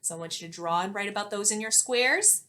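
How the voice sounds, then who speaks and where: A woman in her thirties, an elementary teacher reading a storybook aloud and giving instructions in a clear, expressive way for young children. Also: mid-range voice, brisk. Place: small indoor classroom-like room.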